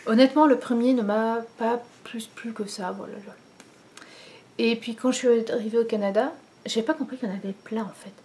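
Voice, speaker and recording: A woman's voice: a little high, steady tone, moderate pace, darkish tone. A woman in her thirties from France chatting casually to camera at home, rambling with many fillers and restarts. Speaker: knitting vlogger. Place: living room at home, indoors. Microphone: camera in front, head and shoulders.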